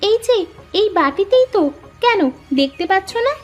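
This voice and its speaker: A woman's voice: very high voice, animated, moderate pace. A woman voicing a sweet, naive and dutiful young village daughter in a cartoon, girlish and polite, over music. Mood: innocent. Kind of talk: cartoon story dialogue. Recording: music under the voice.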